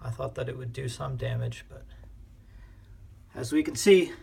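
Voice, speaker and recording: A man hosting a casual hobby demonstration in his living room, explaining things in a measured, hesitant way. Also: medium pitch, creaky, a little mellow, slight background noise. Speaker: host, record hobbyist. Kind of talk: casual demonstration of vintage records. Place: indoors, living room at home.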